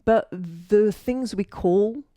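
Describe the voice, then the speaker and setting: A woman's voice: medium pitch, slow, warm tone, dry recording, clean studio sound. An Australian woman in her forties, an architect, telling her story casually with many fillers and self-corrections. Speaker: architect and mentor.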